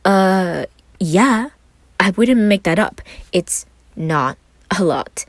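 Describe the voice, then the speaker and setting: Lanky bashful emo guy for an audio story, played by a woman: halting, self-deprecating, awkward delivery, very dry sound. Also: medium pitch, expressive, no room sound, voice pushed up, heavily acted. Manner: hesitant, stammering, self-deprecating. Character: very tall, awkward, shy emo young man. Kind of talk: fan fiction audio story acting.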